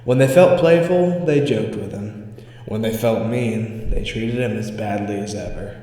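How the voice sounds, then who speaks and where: A man's voice: on the low side, measured pace, dark tone. A man narrating a scripted spooky story as a storyteller. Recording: echoey reverberant hall sound.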